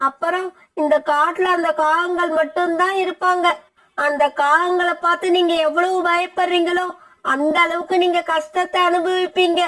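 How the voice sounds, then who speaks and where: பெண் குரலில் புலம்பும் வயதான பெண் பறவை, மிக உயர்ந்த சுருதி. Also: female voice, extremely high pitch, flat delivery, normal speed, a bit bright, poor audio. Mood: indignant. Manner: complaining, pleading, indignant. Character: aggrieved elderly female bird, tearful and scolding. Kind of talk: animated moral story dialogue.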